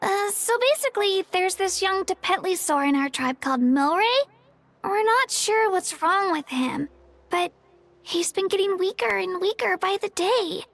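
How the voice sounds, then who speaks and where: A sweet earnest game girl, really high youthful voice, friendly tribe kid. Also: normal speed, creaky, fairly bright tone, heavily acted. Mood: worried. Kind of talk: English game voice acting.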